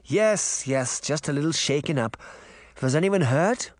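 Old grandmother, kindly yet firm, acted by a male narrator, animated pitch, storybook read. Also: unhurried, no room sound, studio quality. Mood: concerned. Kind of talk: audiobook character voice.

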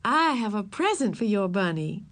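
A woman voicing a kind, gentle schoolteacher who speaks sweetly and encouragingly, a little off the mic. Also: mid-range voice, sing-song, moderate pace.

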